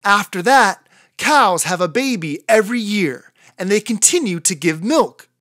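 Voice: mid-range voice, lively intonation, unhurried, a bit bright, dry recording, studio quality. A narrator reading a children's picture book aloud in a careful storytelling manner for young listeners.

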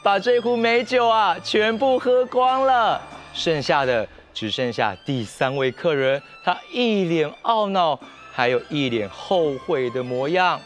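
Young Taiwanese-accented man, kids' TV storyteller; high, very lively intonation. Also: measured pace, few pauses, soft background music. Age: young adult. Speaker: children's TV storyteller. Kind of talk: animated children's story narration. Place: TV studio set.

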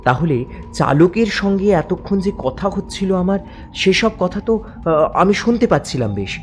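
A man narrating a horror story in the first person, dramatic and suspenseful, halting in tense moments. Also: high voice, sing-song, faint music behind.

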